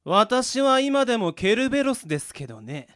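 Man voicing a haughty, high-pitched magical beast. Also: sing-song, normal speed, a bit bright, no echo, clean studio sound, full character voice. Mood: aloof, snide. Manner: polite but snide, aloof. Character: haughty, sardonic Cerberus magical beast, fussy gourmet. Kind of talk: amateur radio drama acting.

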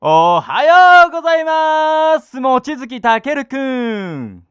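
Slow, mockingly polite masked villain. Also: male voice, extremely high pitch, sing-song, fairly bright tone, exaggerated voice. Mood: mocking greeting. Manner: drawn-out, mock-courteous delivery. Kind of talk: amateur radio drama acting.

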